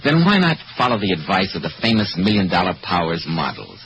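A man's voice: low-pitched, huge pitch swings, fairly quick, creaky, lo-fi sound, noisy background. An American man announcing and hosting in a polished, emphatic 1940s radio style. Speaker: radio announcer and program host. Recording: vintage 1940s radio broadcast with music.

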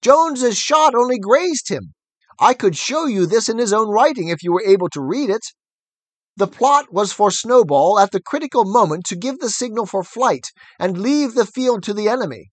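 A man voicing Squealer, a persuasive, excitable male pig who talks fast and insistently. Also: high voice, dry recording, pitched above the performer's own voice. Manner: smooth, insistent, wheedling.

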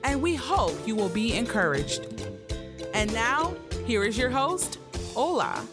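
A woman reading a scripted podcast intro in a clear, measured announcing manner. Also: fairly high pitch, very animated. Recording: music bed behind voice.

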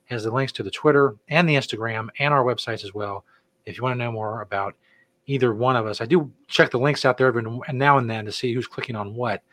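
A man's voice: medium pitch, quick pace, a bit bright, no echo. A middle-aged man co-hosting a casual podcast, laid-back and joking as he rambles through announcements. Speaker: podcast co-host. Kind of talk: casual chat.

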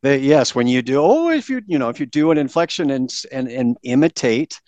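A man's voice: mid-range voice, big pitch swings, brisk, a little mellow, no room sound, muffled recording. A man who is a guest on a podcast, explaining his research in an emphatic, lecturing way. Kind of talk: interview, explaining a presentation.

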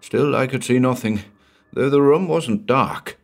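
A man voicing a big, strong man over sixty, hoarse and shaken with terror. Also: medium pitch, measured pace, voice pushed up. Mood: frantic. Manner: frantic, pleading.

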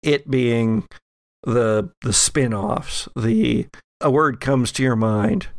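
A man narrates a horror tabletop game as its game master, pausing thoughtfully as he goes. His voice is medium in pitch with a heavy gravelly fry. He speaks very slowly, and the sound is dry and close. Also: no echo.

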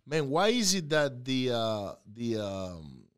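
A man's voice: medium pitch, very animated, takes it slowly, smooth. Chatty man hosting a podcast. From Venezuela. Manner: chatty, joking, rambling with restarts. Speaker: podcast host. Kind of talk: casual interview chat.

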